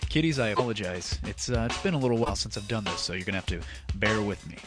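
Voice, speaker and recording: A man's voice: lowish voice, fast talker. A man hosting a gaming audio show with a sarcastic, theatrical, mock-grandiose comic monologue. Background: music.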